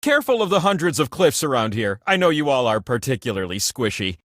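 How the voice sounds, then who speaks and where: A man's voice: mid-range voice, sing-song, fast, a bit bright, no room sound, studio quality. A man voicing a chatty, vain living wheel that hosts a comic video game show in a theatrical, quirky way. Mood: chatty, whimsical. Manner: theatrical, quirky, playfully self-important. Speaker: game host.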